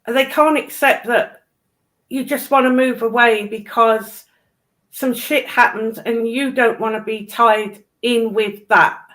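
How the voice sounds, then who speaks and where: A British woman with a slightly high voice, talking casually. She is blunt and emphatic, and swears freely as she makes her point. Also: steady tone, slow, fairly bright tone. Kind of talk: casual chat.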